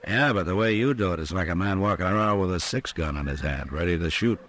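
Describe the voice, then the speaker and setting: A frontier marshal in a vintage Western radio play. He is American, with a very low voice, and speaks in a measured, firm way, questioning people with calm authority. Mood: probing. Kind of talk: 1950s radio drama acting.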